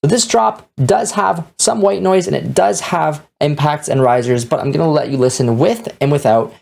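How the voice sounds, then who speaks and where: A man's voice: fairly high pitch, moderate pace, vocal fry, a little mellow. A man narrating a casual music production tutorial as the host of the lesson.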